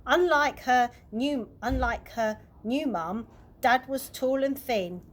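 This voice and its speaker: A woman's voice: high voice, takes it slowly. A woman reading a chapter book aloud as bedtime story narration for children. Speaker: early years practitioner reading aloud.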